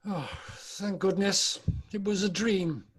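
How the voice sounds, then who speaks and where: Man acting old miser, high-pitched voice, frightened, stubborn, amateur drama table read. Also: takes it slowly, husky, heavily acted.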